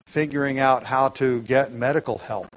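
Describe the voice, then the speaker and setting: A man preaching to his congregation, a pastor who stops and restarts his sentences often. His delivery is halting, with fillers and an occasional light chuckle, and his voice sits at an ordinary pitch. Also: fairly even intonation, low-quality recording. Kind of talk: sermon.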